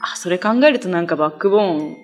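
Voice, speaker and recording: A woman's voice: lowish voice, fast talker, soft background music. A woman in her thirties chatting casually as a guest on a talk show, at a desk microphone in a small room. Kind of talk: casual chat. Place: small indoor meeting room.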